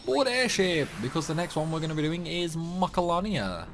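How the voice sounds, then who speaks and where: A man's voice: a little high, sing-song, a little fast, fairly bright tone, faint music behind. A young man giving rambling, casual commentary over a game playthrough. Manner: chatty, rambling, lots of likes and uhs. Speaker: gaming youtuber.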